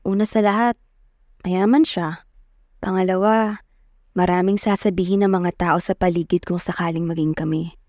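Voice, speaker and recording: A woman's voice: on the low side, steady tone, moderate pace, pauses often, darkish tone, dry recording, clean studio sound, close to an everyday voice. An actress playing a poor, determined, lovestruck young provincial woman who narrates her own story earnestly and introspectively. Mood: defensive, agitated. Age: young adult. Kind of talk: scripted first-person dramatic narration. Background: music.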